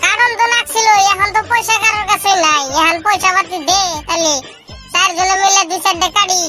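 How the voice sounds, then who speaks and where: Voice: very high-pitched, fairly even intonation, brisk, bright, forceful tone, faint music behind. A performer voicing a sulky, mocking unemployed young friend in a comic cartoon, scolding in a rural Bangladeshi dialect. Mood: scolding, doubtful.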